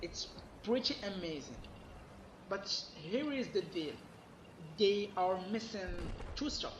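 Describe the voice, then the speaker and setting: Hoarse man ranting, high voice, echoey room. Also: big pitch swings, slow, a little hiss or hum. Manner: emphatic, complaining. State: losing his voice, hoarse. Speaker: gaming commentator. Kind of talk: solo gaming rant.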